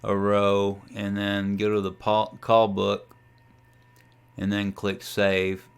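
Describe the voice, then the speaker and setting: A man giving a casual, folksy tutorial as a ham radio hobbyist, talking through a software demo in an unhurried way. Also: on the low side, completely flat delivery, gravelly, clean tone. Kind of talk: casual screen-share tutorial.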